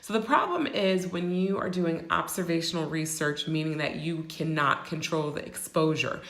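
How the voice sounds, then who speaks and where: A low-pitched woman, raspy and a bit hoarse from a cold. She explains things in a casual, rambling way, with plenty of "um"s. Her voice bounces around an echoey room. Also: moderate pace, a bit bright. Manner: explanatory, with frequent fillers. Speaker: host. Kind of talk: casual educational talk.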